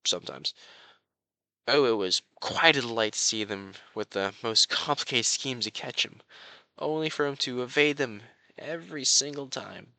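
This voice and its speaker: A man playing a courtly young crown prince, besotted and quietly possessive, speaking formally and floridly to the listener in a romantic audio roleplay. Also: medium pitch, normal speed, creaky, no room sound. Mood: smug, adoring. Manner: formal, flowery, genteel speech.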